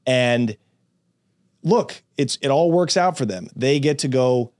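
A man's voice: mid-range voice, animated, slow pace, darkish tone, dry recording, clean studio sound. A man hosting an interview, a former drug dealer, talking bluntly with street slang and frequent interjections. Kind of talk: casual interview chat.

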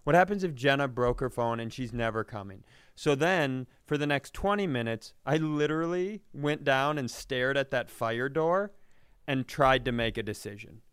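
A man co-hosting a podcast, telling self-deprecating, profane comic stories in an animated, exasperated way. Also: mid-range voice, normal speed, clean tone, no room sound, studio quality. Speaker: podcast co-host. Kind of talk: comedic storytelling in casual podcast chat.

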